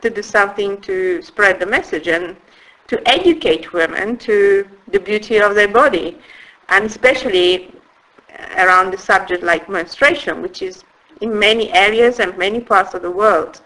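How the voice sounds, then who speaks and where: A woman in her forties with an Italian accent, telling her life story in a halting way, with restarts and soft laughs. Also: medium pitch, low-quality recording. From Italy. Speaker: interviewee. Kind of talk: personal life story in conversation.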